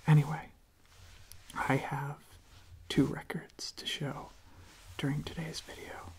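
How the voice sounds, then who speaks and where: Whispering, very slow young ASMR host. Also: medium pitch, level tone. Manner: slow, gentle, halting delivery with repeated words. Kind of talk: soft-spoken whispered ASMR.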